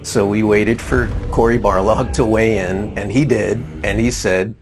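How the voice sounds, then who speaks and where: A man's voice: fairly low pitch, fairly even intonation, a little slow. A man speaking as a game developer in a promotional interview, with music behind his voice.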